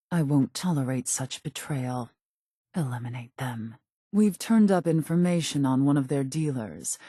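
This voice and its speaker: A woman's voice: deep voice, warm tone, dry recording, clean studio sound, full character voice. A woman playing a cool, ruthless gang boss in a video game, speaking in a controlled, clipped, politely menacing way. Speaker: video game character giving out jobs. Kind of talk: scripted game dialogue.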